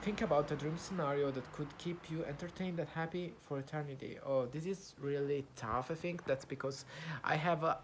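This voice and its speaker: A young Italian man talking to camera in English, his second language, with an Italian accent, pausing often with fillers and restarts as he searches for words. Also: on the high side, a little hiss or hum. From Italy. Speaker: vlogger. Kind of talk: casual talk to camera, practising English.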